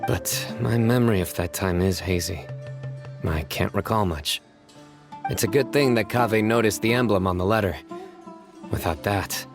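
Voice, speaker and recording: A reserved, stern desert investigator in a game. His voice sits very low, and his delivery is measured and deadpan with heavy sighs. Faint music plays under the dialogue. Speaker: lead investigator.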